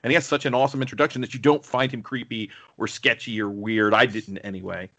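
Male podcast co-host, mid-pitched voice, loose and digressive conversational delivery. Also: fairly even intonation, fast talker, bright, forceful tone. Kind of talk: casual film discussion.

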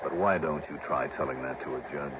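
An actor playing a shrewd, hard-boiled private investigator in mid-century radio drama, with a clipped, wry, probing way of talking. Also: male voice, extremely low pitch, fairly quick. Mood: confronting, wry. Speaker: star of the show. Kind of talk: 1950s-60s radio drama acting and first-person narration. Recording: dull vintage radio recording with music.

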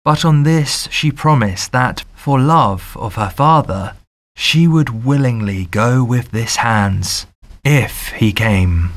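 A man narrating a fairy tale audiobook in a measured, deliberate storytelling manner. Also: medium pitch, animated.

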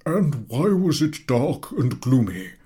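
A man's voice: medium pitch, big pitch swings, mellow, breathy edge, pitched above the performer's own voice, full character voice. A man putting on a pompous old professor. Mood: coaxing. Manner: drawn-out, coaxing schoolmaster questioning. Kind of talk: audiobook character voice.

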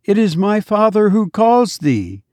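A man voicing a gentle young boy's spirit who speaks tenderly and pleads with his mother, as part of a storybook reading. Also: sits high, big pitch swings, measured pace, dry recording, clean studio sound, voice pushed up. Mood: tender pleading. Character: gentle young boy spirit, sweet and loving.

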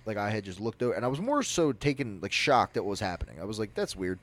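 A young man with a naturally loud voice, telling a personal story on a podcast in a rambling, animated way. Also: on the low side, fast. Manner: animated, rambling, with frequent restarts. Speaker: podcast co-host. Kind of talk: casual storytelling.

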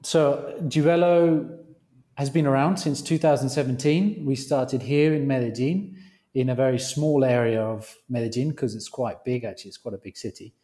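A British man, a company founder, speaking as a guest on a remote interview call, with halting speech and frequent restarts. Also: mid-range voice, mellow. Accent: British English. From Britain. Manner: halting, restarts phrases often.

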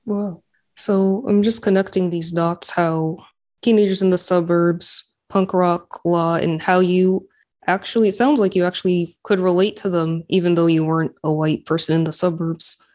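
A woman's voice: fairly low pitch, fairly even intonation, moderate pace, a little mellow. A woman interviewing in a conversational way, attentive and reflecting back what she has heard.

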